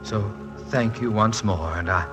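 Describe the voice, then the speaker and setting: An actor playing a shy, bookish professor, formal and wordy, in 1940s radio style. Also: male voice, on the low side, a little slow, music in the background, lightly acted. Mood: shy, earnest. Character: courteous, shy, bookish American male professor. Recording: vintage 1940s radio recording.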